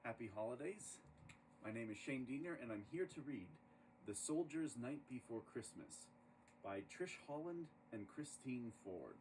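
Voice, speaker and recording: A man reading a rhyming children's story aloud in an expressive, dramatic storytelling manner. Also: medium pitch, normal speed. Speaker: storybook reader. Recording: distant microphone with clear background noise.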